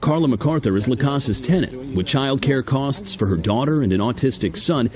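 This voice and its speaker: A man narrating a television news report in a measured, formal way. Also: medium pitch, expressive, crowd noise.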